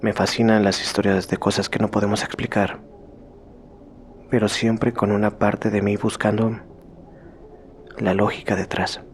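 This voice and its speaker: A man reading out a horror story in slow, hushed scripted narration that sometimes sinks to a whisper. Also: on the low side, little pitch movement, slight background noise.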